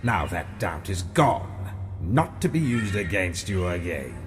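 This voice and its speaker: A man's voice: deep voice, sing-song, in a large hall, music in the background, exaggerated voice. A man playing the Rat King, a menacing, theatrical ancient immortal who speaks in grandiose riddles in a comic-book audio drama. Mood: menacing, intrigued. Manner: slow, grandiose, riddling speech.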